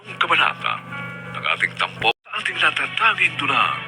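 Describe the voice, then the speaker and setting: A man's voice: a little high, wildly swooping pitch, a little fast, vocal fry. A man announcing a radio drama in a dramatic scripted style. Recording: radio broadcast with loud music. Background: loud music.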